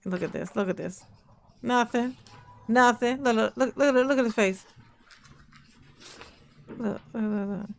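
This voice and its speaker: Sassy woman with a deep gravelly voice. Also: measured pace, pauses often. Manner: sassy, mocking, repeats "look, look". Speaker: commentator reacting to court footage. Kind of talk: casual reaction commentary.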